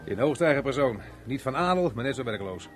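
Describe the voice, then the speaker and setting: A man's voice: medium pitch, expressive, brisk, a little hiss or hum, lightly acted. A man acting in a Dutch radio play as a jovial, wry, middle-aged unemployed chatterbox who jokes with his neighbour. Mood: jovial, teasing. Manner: chatty, joking, chuckling, wry.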